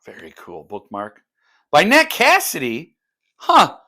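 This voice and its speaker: A man's voice: fairly high pitch, wildly swooping pitch, slow pace, sharp tone. A man talking casually and unscripted as a book vlogger, thinking aloud in broken, conversational phrases. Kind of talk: casual unscripted talk to viewers.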